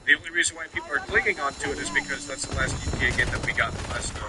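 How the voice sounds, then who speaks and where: A man's voice: mid-range voice, racing, background noise. A man hosting a livestream in casual, opinionated, rambling monologue with some swearing as he plays a game. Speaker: livestream host. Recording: loud game music and gunfire behind. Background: video game music, gunshots, vehicles.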